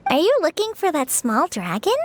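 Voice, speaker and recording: A woman's voice: sits high, big pitch swings, normal speed, no echo. A woman voicing a shy, earnest teenage forest ranger girl in an English anime game dub. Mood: excited. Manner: hesitant, polite, earnest. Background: game music.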